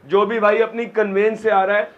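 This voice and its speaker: This is a man with a fairly high voice, a little hoarse from a sore throat. He reels off phone prices in short, clipped lists, like a seller pitching in a small shop. The sound is dull and lo-fi. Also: little pitch movement, moderate pace.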